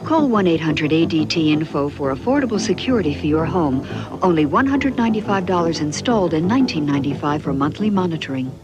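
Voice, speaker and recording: A woman's voice: deep voice, expressive, fast talker, highs cut off. A woman narrating a scripted home-security style advertisement. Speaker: commercial narrator. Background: music.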